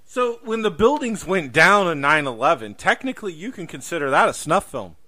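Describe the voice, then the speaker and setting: A man's voice: fairly high pitch, big pitch swings, a little fast, sharp tone. A man hosting a casual podcast conversation, speaking emphatically and repeating himself to drive points home. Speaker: podcast host.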